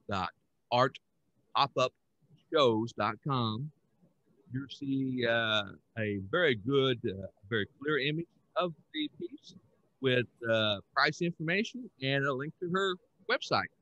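Older male podcast host for a casual art show: animated low delivery, dull video-call sound. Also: takes it slowly, dry recording. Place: indoor room on a video call. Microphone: headset.

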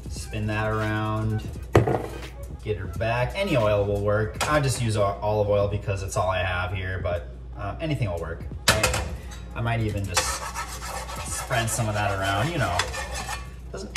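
A man's voice: lowish voice, moderate pace. A young man chatting casually while he cooks in a home kitchen, rambling with playful asides. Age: young adult. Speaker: home cooking vlogger. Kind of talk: casual cooking vlog chat. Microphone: camera on the counter, moving around the kitchen. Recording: clear background music under the voice.

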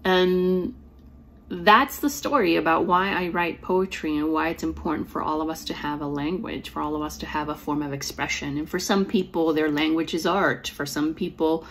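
A woman in her forties talks earnestly to a camera in a small room at home. Her voice sits deep and carries a gravelly edge, and a slight lisp colors her words. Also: normal speed, a little hiss or hum. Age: 40s. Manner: reflective, earnest, with fillers and restarts. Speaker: poet and author. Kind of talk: personal storytelling to camera. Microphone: device camera at close framing.

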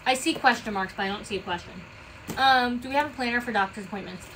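A woman's voice: a little high, fast talker, fairly bright tone, slight background noise, poor audio. A woman with an Italian-American Bronx accent, chatting casually while packing orders on a livestream and reading out comments. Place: small business office.

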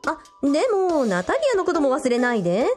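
A woman's voice: high-pitched, sing-song, a little fast, soft background music. A woman playing a teasing, sociable young elder sister in a radio drama, with a casual, playful way of talking. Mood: fond, explaining.

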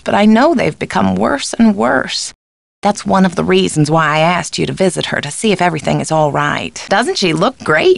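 An actress voicing a kindly, fussy, worried housekeeper in a video game, warm and expressive. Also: female voice, lowish voice, creaky, no room sound.

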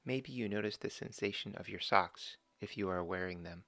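Gravelly low-voiced man guiding a meditation. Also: dry recording. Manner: slow, calm instructions with long pauses. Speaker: meditation guide. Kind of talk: guided body scan meditation.

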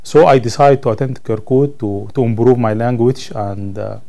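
A man in his thirties from Sudan, a native Arabic speaker with a Sudanese Arabic accent, answering interview questions as a student in halting English, searching for words. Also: mid-range voice, steady tone, gravelly, dark tone. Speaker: college ESL student being interviewed. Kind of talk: interview answers about own life. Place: indoor room, seated on camera.

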